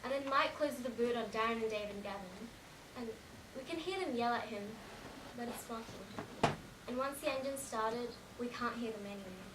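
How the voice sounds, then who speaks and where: A woman's voice: fairly high pitch, normal speed, lightly acted. A young woman playing a shaken teenage girl in a dramatic monologue, telling her story in a colloquial, run-on way. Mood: uneasy, chatty. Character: shaken teenage girl recounting a car crash. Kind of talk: dramatic stage monologue, first-person storytelling. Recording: echoey room, distant mic, faint noise.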